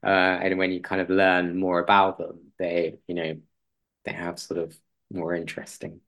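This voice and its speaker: A man's voice: deep voice, monotone, moderate pace, fairly bright tone. A man chatting casually as an interview guest, in an informal, tentative, loosely phrased way. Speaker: podcast guest, playwright. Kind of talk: casual chat.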